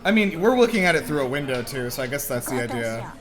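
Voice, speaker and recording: A man's voice: mid-range voice, very animated, quick pace. A man giving casual, chatty reaction commentary with exclamations and plenty of "like". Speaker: movie reaction host.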